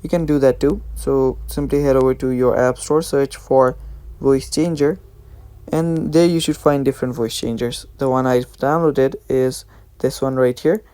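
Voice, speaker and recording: A man's voice: medium pitch, fairly even intonation, normal speed, warm tone, smooth, no echo. A man hosting a how-to video, narrating in a casual, informal way. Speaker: tutorial host.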